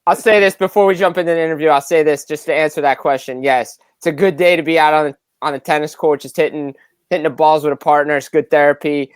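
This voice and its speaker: A man's voice: on the high side, fairly even intonation, fast, smooth, no room sound. A man hosting a sports podcast in a casual, conversational style. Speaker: podcast host.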